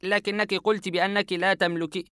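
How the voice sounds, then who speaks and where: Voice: medium pitch, flat delivery, a little fast, pushed and bright, clear, resonant tone, dry recording, clean studio sound, voice pushed up, natural voice. A narrator voicing a frightened young man in a horror audiobook, asking anxious, stunned questions. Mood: shaken. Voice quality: shaken, anxious.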